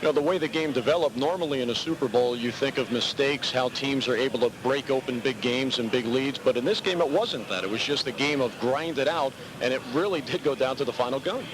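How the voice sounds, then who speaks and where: A man's voice: medium pitch, fairly quick, few pauses. An American man working as a sports reporter, interviewing after a game on a noisy live radio broadcast from a dressing room.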